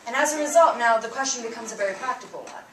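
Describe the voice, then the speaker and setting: Young woman student speaking in a hall, bit high voice, echoey and kinda far from the mic, earnest. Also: very fast, fairly bright tone, a little hiss or hum. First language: Bengali. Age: young adult. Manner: earnest, emphatic, rising on openers. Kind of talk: prepared public talk. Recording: roomy sound, mic at a distance.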